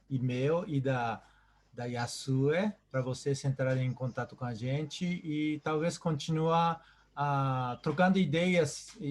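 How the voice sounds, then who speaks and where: Effusive Japanese man, halting speech. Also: on the high side, a little mellow. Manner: halting, repeats words, effusive. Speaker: host. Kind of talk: closing remarks on a video call.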